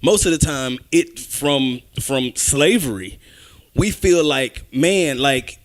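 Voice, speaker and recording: A young man co-hosting a podcast, making his case in an emphatic, animated way and often talking over the others. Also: mid-range voice, takes it slowly. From United States. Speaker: podcast co-host. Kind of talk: casual debate chat.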